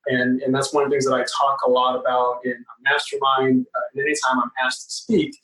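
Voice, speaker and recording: A man's voice: medium pitch, level tone, a little fast, bright, forceful tone, recorded from a distance. An earnest man in his forties, born in the Philippines, talks as a podcast guest and restarts phrases with repeated words. His voice stays level, with little rise and fall. He sounds set back from the mic in a roomy space. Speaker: podcast guest, real estate investor. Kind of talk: conversational interview.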